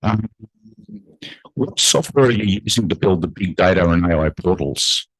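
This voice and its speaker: A man in a conference audience asking a speaker technical questions, halting and restarting as he goes. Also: low-pitched, husky, no room sound, low-quality recording. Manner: halting, restarts with filler words. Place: conference room.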